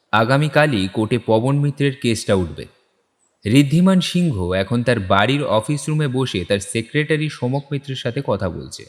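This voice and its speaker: A man narrating a scripted detective story as the storyteller, with long reverb on the recording. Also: medium pitch.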